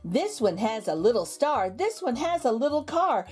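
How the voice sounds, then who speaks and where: A woman reading a picture book aloud to young children, with expressive, exaggerated intonation and drawn-out words. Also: a little high, slightly rough. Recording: background music under the voice. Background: music.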